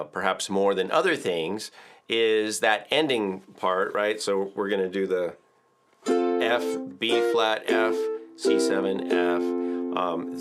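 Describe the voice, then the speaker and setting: Middle-aged male music teacher, slightly low voice, casual explaining tone, studio video lesson. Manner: chatty, explains with filler words and counts aloud. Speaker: ukulele teacher. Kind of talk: relaxed video tutorial. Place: indoor studio with drums.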